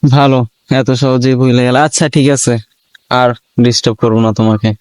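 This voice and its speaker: A man's voice: medium pitch, steady tone, brisk, a little mellow, clean tone. A man with a Bangladeshi accent chatting casually as a prank call host, teasing and pressing with questions. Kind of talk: casual teasing phone chat in colloquial Bengali.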